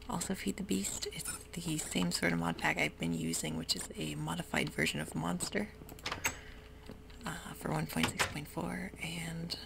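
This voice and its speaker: A young woman whispering casual ASMR commentary while she plays a video game, talking in a soft, rambling way. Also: fairly low pitch. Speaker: ASMR gaming channel host. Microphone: desk mic while gaming. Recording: rough home recording with audible hiss.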